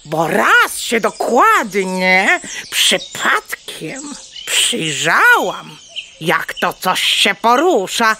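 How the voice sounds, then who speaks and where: A woman's voice: mid-range voice, very animated, very slow, pushed and bright, a little hiss or hum, exaggerated voice. A woman playing a curious, plucky, naive hen in a children's audio play, exclaiming and stammering into clucks. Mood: secretive.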